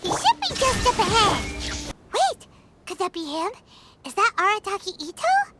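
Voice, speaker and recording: A woman voicing a tiny, perky, outspoken childlike companion in a video game, with a squeaky voice. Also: wildly swooping pitch, moderate pace, heavy background noise, heavily acted. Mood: excited, scheming. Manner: perky, outspoken, refers to self by name. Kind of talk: video game cutscene acting. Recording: loud game music behind the voice.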